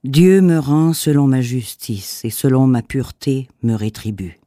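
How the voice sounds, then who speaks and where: Slow, mellow man reading scripture. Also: mid-range voice, expressive, dry recording, studio quality. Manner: measured, reverent, phrase by phrase. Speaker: scripture reader. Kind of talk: slow solemn Bible reading.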